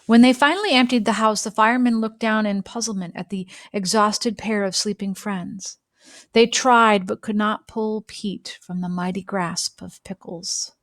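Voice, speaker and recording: A woman reading a children's picture book aloud with expressive, dramatic phrasing and deliberate pauses. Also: medium pitch, no room sound, studio quality. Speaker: storybook reader.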